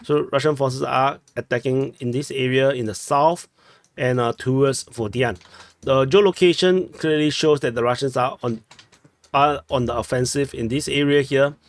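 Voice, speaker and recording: A man's voice: mid-range voice, steady tone, moderate pace, no room sound. Chatty Singaporean man, dry room. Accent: Singaporean English. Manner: chatty, self-correcting, ends with "no?". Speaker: war map analyst. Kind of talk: casual map commentary. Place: small room at home.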